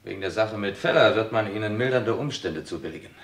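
A man's voice: on the low side, expressive, fast talker, a bit bright. An actor playing a firm Scotland Yard policeman in a radio crime play, authoritative and insistent, in an echoey room. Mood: persuasive. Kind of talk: vintage German radio crime play acting.

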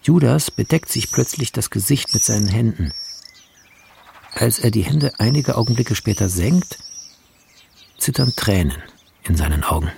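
A man narrating a screenplay in a radio drama, in measured, terse phrases. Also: fairly low pitch, lively intonation, creaky, noisy background.

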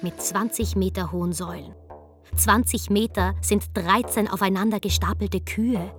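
A woman's voice: medium pitch, fairly even intonation, moderate pace, soft background music. A woman narrating a scripted comic history programme with wry, colloquial asides.

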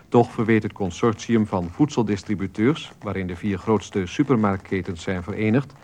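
Sober male newsreader, played by an actor: lowish voice, formal radio bulletin delivery, faint noise behind. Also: darkish tone, natural voice. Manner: formal, measured, impersonal. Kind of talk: news bulletin within a radio play.

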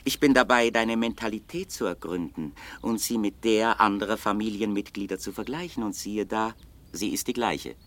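An actor playing a wealthy young bachelor in a 1960s German radio play, polite, ironic and precise, with a mocking, quietly menacing manner. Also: male voice, fairly low pitch, normal speed, close to an everyday voice. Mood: ironic, composed. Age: young adult. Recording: noisy vintage radio play recording.

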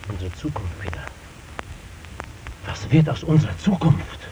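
An archival radio play with clear noise carries an anxious, down-to-earth working man. His voice sits at a middle pitch, and his delivery is earnest and worried, that of a sober family man. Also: sing-song, measured pace, highs cut off. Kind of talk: old German radio drama acting.

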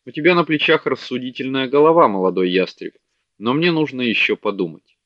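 A man's voice: mid-range voice, expressive, a little fast, no room sound, pitched above the performer's own voice, lightly acted. A man reading a haughty, greedy merchant. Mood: calculating. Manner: haughty, contemptuous. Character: sleepy greedy fat male merchant. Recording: dull dry lo-fi audiobook recording.

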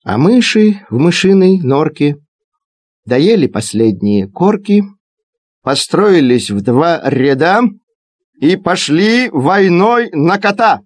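A man reciting children's verse as a theatrical storyteller, expressive and dramatic. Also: fairly high pitch, slow, no room sound. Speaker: storyteller, performer. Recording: digitized cassette audio play. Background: music.

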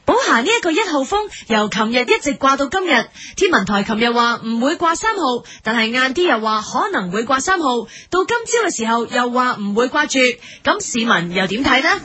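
A woman's voice: sits high, very animated, a little fast, few pauses, bright, forceful tone, full character voice. A woman playing a field reporter in a parody news bulletin, with a Hong Kong Cantonese accent. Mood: reporting. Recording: music under the voice.

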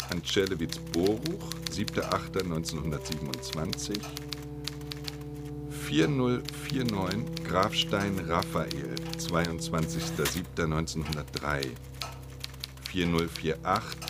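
A deep-voiced, methodical bureaucrat. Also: male voice, full character voice. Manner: formal, deliberate, bureaucratic. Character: dry, methodical man reading out official letters and certificates. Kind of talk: formal dictation of documents in a radio play.

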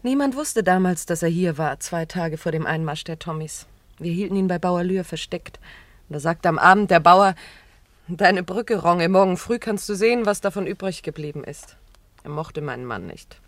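An actress playing a grief-stricken, self-blaming widow in a German radio play, with a brooding, hesitant, confessional manner. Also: female voice, lowish voice, lively intonation, normal speed, dry recording, lightly acted. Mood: anguished, confessing.

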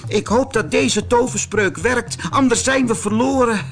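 A man's voice: extremely high pitch, measured pace, creaky, a bit bright, faint music behind, voice pushed up, heavily acted. A man voicing an earnest, plucky young boy who is learning magic, in a storybook reading. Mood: anxious, determined.

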